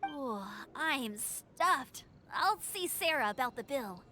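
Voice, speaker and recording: An actress voicing a cheerful, energetic young female scout who talks in an upbeat, easygoing way, in video game dialogue. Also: sits high, takes it slowly, background noise.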